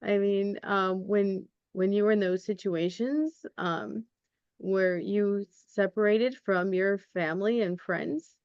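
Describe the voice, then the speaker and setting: A woman hosting a podcast, interviewing a guest with gentle, measured questions. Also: medium pitch, steady tone, clean tone, dry recording, muffled recording. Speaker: podcast host.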